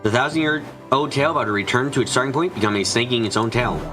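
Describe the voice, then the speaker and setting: A man's voice: mid-range voice, fast. A man narrating a scripted story in a dramatic style for a visual novel fan dub. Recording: music behind the voice. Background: clear background music.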